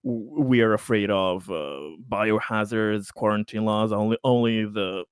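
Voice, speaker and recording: A man talking casually as a player in a roleplaying game, hesitant, pausing and repeating words. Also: lowish voice, clear, resonant tone, no room sound, studio quality.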